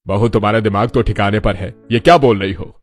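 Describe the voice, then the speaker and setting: A man's voice: on the low side, a little fast, lightly acted. A bewildered older father-in-law from a family cartoon, acted by a man, questioning and put out. There is faint music behind him. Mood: indignant.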